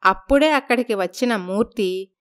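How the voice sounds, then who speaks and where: A woman's voice: mid-range voice, moderate pace, a bit bright, smooth. A woman narrating a scripted moral story as the storyteller.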